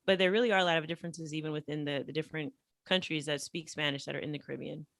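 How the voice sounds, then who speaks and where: A woman from the United States, a guest on a podcast, telling stories in an animated, chatty way with many fillers. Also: deep voice, very fast, gravelly, dry recording, clean studio sound. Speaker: podcast guest, language teacher. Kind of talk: casual interview storytelling.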